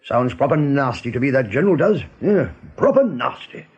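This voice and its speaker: An actor playing a tough, blustering rabbit warrior in a radio play, colloquial and hesitant, with mild oaths. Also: male voice, medium pitch, expressive, normal speed, slightly rough. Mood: gruffly reluctant. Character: tough, blustering male rabbit warrior, gruff but game. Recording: muffled, band-limited radio play recording.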